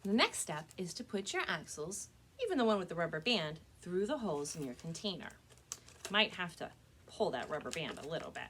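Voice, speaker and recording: A woman's voice: fairly low pitch, sing-song, a little fast, fairly bright tone, slight background noise. A woman presenting a step-by-step craft demonstration for children as a librarian, speaking to her young viewers in a friendly, direct way.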